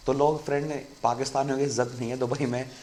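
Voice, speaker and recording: Male channel host, casual explainer, mid-pitched, conversational, light background noise. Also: level tone, quick pace, a little mellow. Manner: conversational, keeps saying "friend" to viewers.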